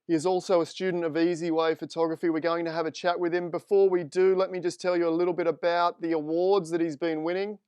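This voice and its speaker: Aussie guy hosting a live stream, chatty and upbeat, slightly high voice, smooth and dry. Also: little pitch movement, fast, few pauses, a little mellow, dry recording. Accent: Australian. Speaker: host. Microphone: desk microphone.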